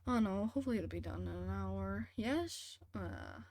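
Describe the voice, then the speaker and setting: A woman's voice: mid-range voice, lively intonation, normal speed, creaky, a little mellow, no room sound, clean studio sound. A woman chatting casually on a live game stream, making short, informal, reactive remarks. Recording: music behind the voice.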